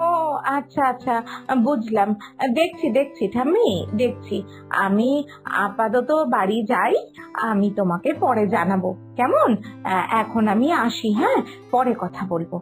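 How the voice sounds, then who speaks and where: A high-voiced cartoon girl acted by a woman, selfish and evasive. She sounds fussy and impatient, complaining her way through a moral tale with faint music underneath. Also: sing-song, unhurried. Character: selfish, evasive young granddaughter, whiny and impatient. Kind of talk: animated moral-story cartoon acting.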